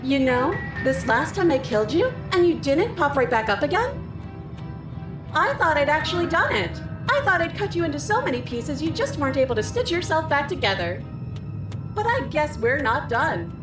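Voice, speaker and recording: A woman's voice: high voice, big pitch swings, normal speed, reverberant, distant mic, over music. A woman playing a murderous, taunting princess in a horror game, gleeful and menacing. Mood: gleeful menace. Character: murderous, taunting princess who relishes violence.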